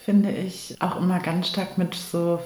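A woman's voice: lowish voice, little pitch movement, unhurried, a little mellow. A woman in her late thirties, a pastor, speaking reflectively as a guest in a conversational interview. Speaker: guest, Protestant pastor and theologian. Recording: echoey room.

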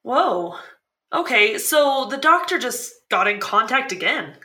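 An actress playing a curious, playful show host who asks quick questions. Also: female voice, fairly high pitch, very animated, sharp tone, full character voice. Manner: inquisitive, bubbly, quick questions. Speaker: podcast host. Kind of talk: scripted podcast drama dialogue.

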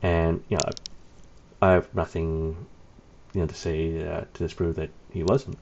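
Man, very low dark voice, rambling anecdotal storyteller, dull lo-fi sound. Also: flat delivery, normal speed, vocal fry, slight background noise. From Canada. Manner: rambling, many fillers, wry laughs. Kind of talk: casual anecdote telling. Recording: dull lo-fi recording.

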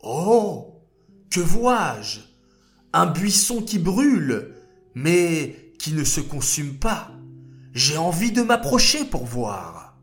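A man's voice: on the high side, lively intonation, unhurried, soft background music. A man voicing a humble young shepherd who says he stammers, telling a children's story. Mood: amazed.

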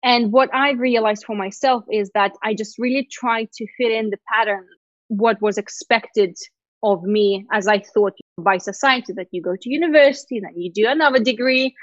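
A woman's voice: a little high, moderate pace, no room sound, muffled recording. A woman in her thirties who grew up in Lithuania with Russian as her first language, telling her story candidly as an interview guest. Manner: candid, animated storytelling with frequent laughs. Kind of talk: casual interview chat.

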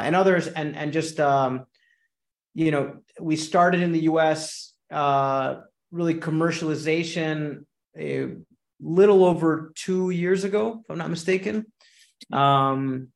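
Venezuelan guy on a podcast, bit high voice, lots of ums, roomy echo. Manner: hesitant, many ums and restarts. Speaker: company CEO, guest. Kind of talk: podcast interview.

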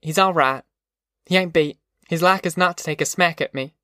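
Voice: medium pitch, moderate pace, fairly bright tone, no echo, studio quality, voice pushed up, close to an everyday voice. A narrator voicing a tough, determined middle-aged farm mother in an audiobook, with blunt, folksy rustic speech. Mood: proud, knowing. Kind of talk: audiobook character voice.

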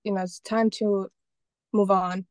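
A young woman from Estonia talks about her work in a relaxed, rambling way, full of fillers and restarts. Her voice is warm and mellow, and it sounds close and dry, with no room sound. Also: medium pitch, unhurried, no echo. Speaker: guest, painter and photographer. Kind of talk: casual interview talk.

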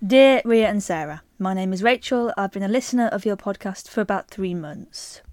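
A woman co-hosting a chatty podcast, lively and quick to laugh. Her voice is mid-pitched and sits close and dry, with no room sound behind it. She moves easily between banter and reading a listener's letter aloud. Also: no echo. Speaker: podcast co-host. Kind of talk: casual chat and reading a listener email.

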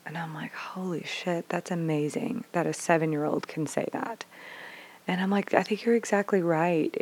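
Woman, 30s, low voice, dry close sound. Candid and expressive storyteller who curses casually, whispers, then bursts out. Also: no echo. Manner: expressive, swears freely, acts out dialogue with big swings from whispers to bursts. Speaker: mother sharing parenting stories.

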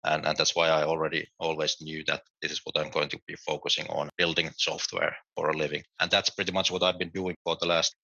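A middle-aged man speaks in an extremely low, gravelly and flat voice, yet with a sharp, bright edge. He explains things steadily, with small restarts. The sound is dry and a bit dull, like lo-fi remote audio. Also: fast, hardly any pauses, dry recording. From Finland. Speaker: guest, tech executive. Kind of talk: podcast interview answers.

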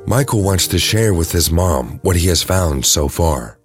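A man narrates an audio drama from a script. His voice is deep and low, with music playing clearly behind him. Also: expressive, a little slow.

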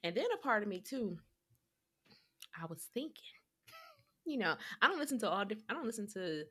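Rambling woman with a bright voice. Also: mid-range voice, sing-song, normal speed, slightly rough. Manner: rambling, full of "like" and restarts. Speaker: podcast co-host. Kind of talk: casual chat.

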